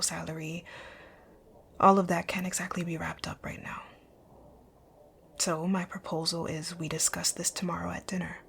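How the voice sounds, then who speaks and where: A woman playing a rich, dominant company owner in an ASMR roleplay, speaking in a composed, commanding, breathy near-whisper. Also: lowish voice, fairly bright tone, a little hiss or hum. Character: rich, bossy, dominant female company owner, curt yet flirtatious. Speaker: audio roleplay voice actor. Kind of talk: ASMR roleplay, scripted one-sided dialogue.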